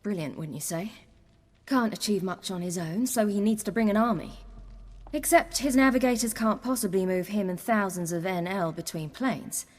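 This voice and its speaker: An actress playing a young hunted woman warrior in a video game, earnest, wry and defiant, telling things in a measured way. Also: medium pitch, darkish tone, in a large hall, natural voice. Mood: bitter, wry. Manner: measured, earnest storytelling with dry wit. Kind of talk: video game dialogue acting.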